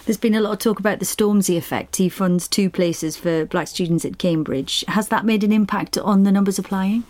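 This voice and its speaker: A woman hosting a radio interview, asking calm, measured questions. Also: medium pitch, dry recording.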